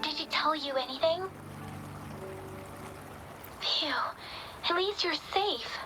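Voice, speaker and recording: An earnest young woman companion from a video game, with a clearly high voice. She sounds caring and attentive to the hero. Music runs behind her speech. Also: takes it slowly, rough recording. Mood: worried. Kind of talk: video game character acting.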